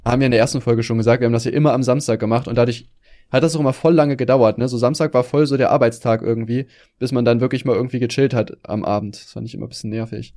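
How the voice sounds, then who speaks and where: A man's voice: mid-range voice, steady tone, dark tone. A man co-hosting a podcast in casual chat, slangy and laughing as he tells stories. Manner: quick, slangy, laughs while telling stories. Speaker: podcast co-host.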